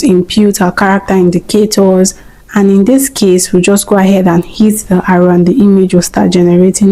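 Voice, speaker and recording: A woman hosting a screen-recorded software tutorial, calmly explaining each step in order. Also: mid-range voice, poor audio. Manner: calm, step-by-step instructing. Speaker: YouTube tutorial host.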